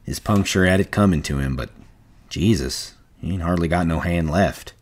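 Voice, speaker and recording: Man playing a level-headed ranch hand, low-pitched, terse, stern, commanding, audiobook story. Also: moderate pace. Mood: grave. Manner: terse, commanding, rustic American speech.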